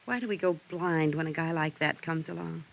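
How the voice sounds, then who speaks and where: An actress playing a weary, melancholy widow in a vintage radio drama, speaking in a hushed, wistful way. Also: female voice, low voice, brisk, vocal fry. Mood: wistful, bitter. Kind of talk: 1950s radio drama acting. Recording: dull, noisy old radio recording.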